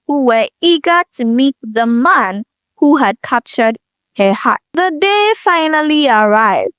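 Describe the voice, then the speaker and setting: A woman narrating a scripted folktale in an expressive, measured storytelling manner. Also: sits high, no echo, clean studio sound.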